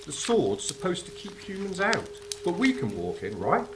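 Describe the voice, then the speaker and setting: An actor playing a skeptical, wisecracking angel in a stage drama. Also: male voice, sits high, huge pitch swings, normal speed. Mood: sly, persuading. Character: skeptical, wisecracking angel on celestial clean-up crew. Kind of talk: theatrical stage drama acting. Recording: clearly noisy stage recording.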